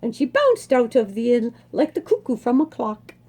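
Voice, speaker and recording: A woman reading a children's storybook aloud expressively, savoring words with dramatic emphasis and pauses. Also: on the high side, big pitch swings, warm tone, slightly rough.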